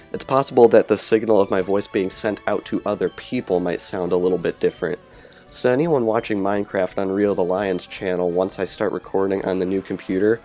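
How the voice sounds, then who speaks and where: A man's voice: lowish voice, darkish tone. A man casually talking over his gameplay, rambling and correcting himself as he goes, recorded through a cheap earbud headset microphone. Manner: rambling, self-correcting, chatty. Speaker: let's play commentator. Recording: lo-fi earbud mic with game music.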